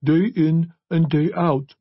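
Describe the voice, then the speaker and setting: A man voicing a solemn male priest in an audiobook, speaking in a gentle, measured and stately manner. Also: on the high side, fairly even intonation, breathy edge, no room sound, highs cut off, pitched above the performer's own voice. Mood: solemn, remorseless. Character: solemn, remorseless male priest reading a will aloud. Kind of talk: audiobook character voice.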